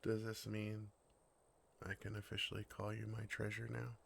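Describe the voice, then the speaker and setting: A man voicing a bashful, earnest dragon in love in an ASMR fantasy roleplay, speaking tenderly with hesitant, awkward pauses. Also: lowish voice, completely flat delivery, creaky, fairly bright tone, slight background noise.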